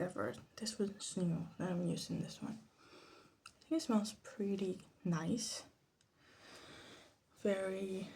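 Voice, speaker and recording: A soft-spoken woman giving casual, rambling ASMR commentary, hesitant and drifting into whispers. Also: medium pitch, gravelly, slight background noise. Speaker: ASMR creator making perfume. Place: small room at home.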